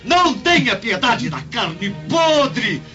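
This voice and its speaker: High-pitched, cruel Roman villain. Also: male voice, sing-song, slow pace, pushed and bright, full character voice. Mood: furious. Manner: commanding, scornful, theatrical. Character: cruel, sneering Roman guard chief, male villain. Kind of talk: radio drama acting. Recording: dull recording with music behind. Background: music.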